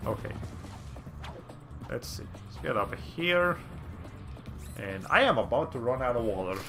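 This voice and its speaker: Raspy chatty male game streamer. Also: mid-range voice, big pitch swings, measured pace, pushed and bright, soft background music. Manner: rambling, chatty, frequent restarts. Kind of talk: casual gameplay commentary.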